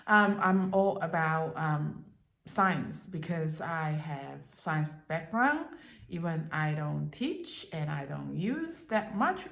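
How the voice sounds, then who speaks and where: A woman whose first languages are Cantonese and Mandarin, talking in a casual, rambling vlog with many pauses and fillers, recorded without any special setup. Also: mid-range voice. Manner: hesitant, many fillers and restarts. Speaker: language-learning vlogger. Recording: roomy unprocessed home recording.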